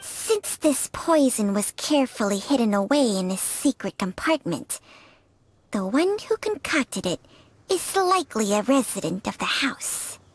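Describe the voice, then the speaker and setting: A woman playing a sharp, blunt young investigator in a video game, with a pointed manner. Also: fairly high pitch, very animated, measured pace, husky, exaggerated voice. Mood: pointed reasoning.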